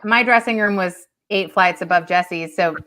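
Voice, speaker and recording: A woman guest on a casual video-call chat, easygoing and quick to laugh. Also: on the low side, bright, forceful tone. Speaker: guest, actress.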